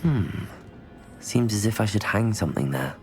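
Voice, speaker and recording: A man's voice: lowish voice, animated, normal speed, natural voice. A man voicing a curious, unflappable young wizard student in a video game, polite and dryly musing to himself. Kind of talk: video game protagonist acting. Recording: loud music behind the voice. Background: loud game music.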